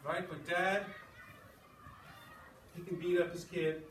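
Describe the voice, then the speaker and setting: A male schoolteacher with a slightly high voice, talking informally to his class as he reads and discusses. He is some way from the mic in an echoey classroom with clear background noise. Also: little pitch movement, slow, a bit bright, recorded from a distance. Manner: informal, checking with listeners. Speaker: sixth-grade English teacher. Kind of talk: classroom read-aloud and discussion.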